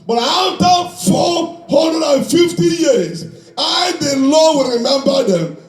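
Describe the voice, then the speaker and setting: A man's voice: very high-pitched, lively intonation, slow, raised voice. A man preaching a fervent sermon, declaiming and repeating phrases emphatically as he calls for responses from his congregation. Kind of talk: fervent Pentecostal-style sermon with call and response. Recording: echoey hall PA, distant mic, background music.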